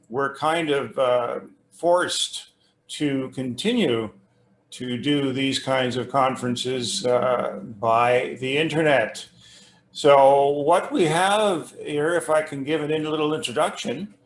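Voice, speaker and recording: A man hosts a remote interview, speaking slowly at a middle pitch. His delivery is halting and rambling as he works from notes, and the sound is roomy and echoing. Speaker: host interviewing a musician. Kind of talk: conversational interview, introduction read from notes. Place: remote internet video call.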